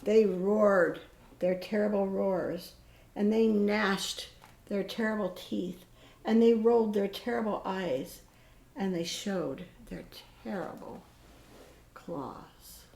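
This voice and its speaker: Slow, creaky-voiced older woman reading a storybook. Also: medium pitch, darkish tone. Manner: slow, dramatic, with drawn-out pauses and stressed words. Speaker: grandmother storyteller. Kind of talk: expressive read-aloud of a children's picture book. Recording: roomy echo with faint background noise.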